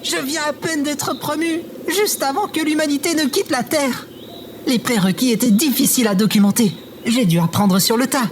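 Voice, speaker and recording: A woman's voice: sits high, normal speed, background noise, close to an everyday voice. A woman playing an anxious, self-pitying robot guard in a comedic audio drama, dramatic and whiny. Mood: distraught, whining.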